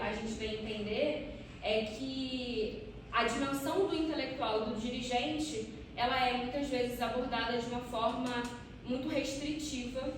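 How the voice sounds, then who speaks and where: A young Brazilian woman giving an academic research presentation as a doctoral student, partly off the cuff, with informal asides and tag questions. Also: fairly high pitch. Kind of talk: academic conference presentation, semi-spontaneous. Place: echoey indoor room at academic conference. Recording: echoey room, distant mic, clear noise.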